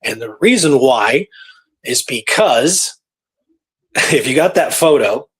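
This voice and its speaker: A man hosting a radio show, telling a historical case in a casual, animated, conversational way. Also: mid-range voice, slow pace, slightly rough.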